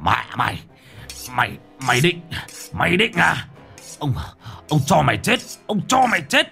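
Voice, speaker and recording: A man voicing an unhinged, murderous middle-aged husband who snarls, mutters and shouts. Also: on the low side, very animated, moderate pace, faint music behind. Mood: snarling rage.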